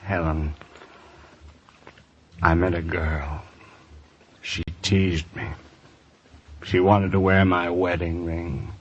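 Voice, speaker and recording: A man acting an anguished, confessing husband in an old radio crime drama, halting and desperate. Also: deep voice, level tone, lo-fi sound. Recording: old radio recording with background hiss.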